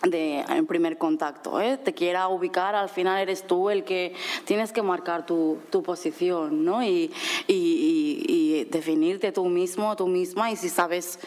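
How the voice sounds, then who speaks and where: A woman born in Nepal and raised in Spain, speaking at a conference panel in a measured, thoughtful way with frequent hesitations and tag questions. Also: lowish voice, a little mellow. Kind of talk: reflective panel talk.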